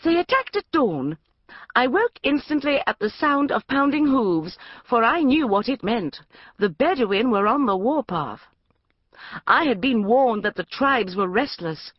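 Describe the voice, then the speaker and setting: Lady doing a bright young woman hamming up an adventure story, lively, dry dull sound. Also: a little high, a little slow, dry recording, voice pushed up. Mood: dramatic. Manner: dramatic, heightened reading. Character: bold young woman reading melodrama aloud.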